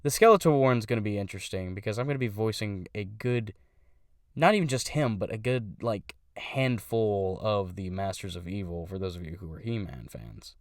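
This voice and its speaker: A man's voice: on the low side, animated, moderate pace, dry recording, studio quality. A young man who does voice impressions, talking casually about his channel in a rambling way, stopping to correct himself.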